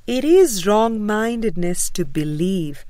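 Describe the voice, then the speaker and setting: A woman reading a spiritual book aloud in a measured, deliberate way, with frequent pauses between phrases. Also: mid-range voice, expressive, mellow, no room sound. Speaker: audiobook reader.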